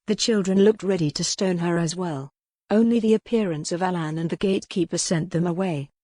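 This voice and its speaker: A woman reading scripted historical romance as an audiobook narrator, measured and articulate. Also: on the low side, dark tone, no echo, studio quality.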